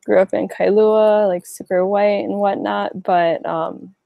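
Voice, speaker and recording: Husky-low young woman, rambling answers. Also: fairly even intonation, unhurried, dark tone, no room sound. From Hawaii. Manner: rambling, many likes and restarts. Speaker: interviewee. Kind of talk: casual interview.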